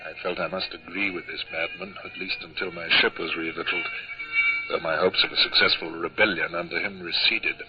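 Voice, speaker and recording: An actor playing a reserved British naval captain, with formal, clipped literary diction, in a 1950s radio drama. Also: male voice, low voice, little pitch movement, a little fast, faint music behind, lightly acted. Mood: grave, reflective. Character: reserved, dutiful British naval captain narrating his adventures. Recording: dull old radio recording.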